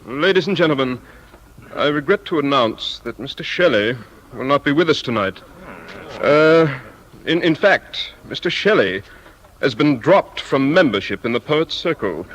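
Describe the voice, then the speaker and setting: An actor in a 1950s satirical radio play playing a polite, pompous poetry-circle host, formal, obsequious and easily flustered. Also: male voice, fairly high pitch, lively intonation, moderate pace, a bit bright, rough recording. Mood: formal, embarrassed.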